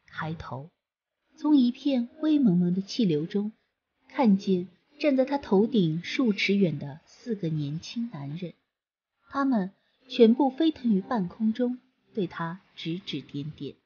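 Woman narrator, breathy, slow, expressive storybook reading. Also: medium pitch, dull audio. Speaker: audiobook narrator.

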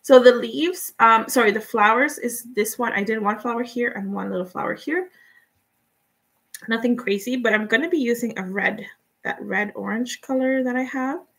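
A woman's voice: on the high side, normal speed, creaky. Spanish-accented woman teaching live painting. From Guatemala. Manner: relaxed, chatty, laughs often, answers viewers' comments. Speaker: painting instructor.

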